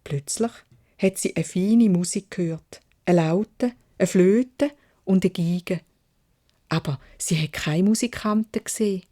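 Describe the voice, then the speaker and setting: A woman storyteller with a Swiss German accent reads a children's fairy tale. Her voice is fairly low and mellow, and she takes her time, shaping phrases expressively. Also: unhurried.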